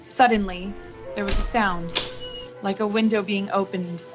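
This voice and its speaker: A woman's voice: medium pitch, fairly even intonation, measured pace, muffled recording, over music, natural voice. A young woman acting an earnest, lovestruck, wounded heroine who narrates a 1940s-style radio suspense play. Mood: grim, resigned. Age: young adult. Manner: earnest, dramatic. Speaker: high school theatre student actor.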